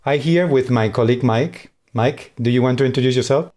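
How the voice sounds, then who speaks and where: A man's voice: medium pitch, fairly quick, a little mellow. Spanish-accented man giving a tech talk. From Spain. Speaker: conference speaker.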